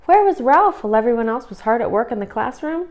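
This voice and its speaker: A woman's voice: on the high side, fast talker. Woman reading a children's story aloud. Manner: expressive read-aloud storytelling. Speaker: storybook reader. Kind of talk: scripted children's story narration.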